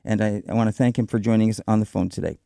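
A man hosting a radio talk show, interviewing a guest in a measured way with some fillers. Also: lowish voice, steady tone, gravelly, dark tone, no room sound, clean studio sound. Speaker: radio host. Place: radio studio.

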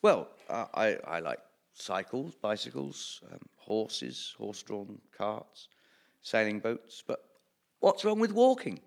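A man improvising live comedy as a great leader, with a halting, deadpan delivery of absurd pronouncements. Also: fairly low pitch, creaky. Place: radio theatre stage before an audience. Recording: live theatre, loud audience, noisy.

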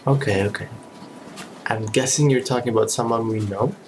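A man playing an easygoing, reassuring colleague in an audio drama, with a casual, understated, gently playful way of talking. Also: medium pitch, normal speed, a little hiss or hum, close to an everyday voice. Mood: puzzled, joking. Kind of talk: audio drama acting, naturalistic dialogue.

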